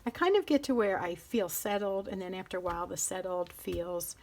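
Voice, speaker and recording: A chatty middle-aged woman showing off her planners in a relaxed vlog. She rambles, loses her thread and picks it up again as she handles her notebooks, with a voice of ordinary pitch. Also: a little fast. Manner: chatty and rambling, interrupts herself while handling items. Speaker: planner vlogger. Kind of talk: casual show-and-tell chat.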